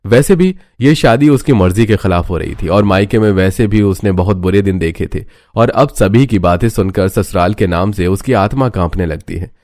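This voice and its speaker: A man with a low voice narrates a family cartoon story. The delivery is scripted storytelling. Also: moderate pace, hardly any pauses, darkish tone, dry recording.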